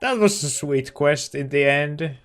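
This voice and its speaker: A man's voice: on the high side, unhurried, a bit bright. A man giving casual, reactive commentary as he plays through a game. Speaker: let's play streamer. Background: game music.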